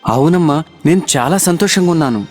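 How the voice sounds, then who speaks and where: A man playing a hardworking, earnest young village son in an animated children's moral story. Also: a little high, a little fast, soft background music. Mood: reassuring.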